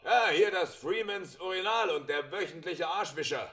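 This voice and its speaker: A man's voice: high-pitched, normal speed. A man acting a brash, coarse newspaper editor barking abruptly into a telephone in a radio drama. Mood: brash incredulity. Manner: loud, abrupt, coarse, incredulous.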